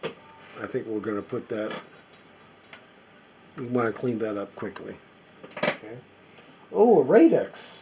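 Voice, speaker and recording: A middle-aged or older man giving casual, unscripted show-and-tell commentary as a collector, halting and musing aloud as he inspects things. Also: on the low side, very animated, very noisy. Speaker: record collector presenting finds.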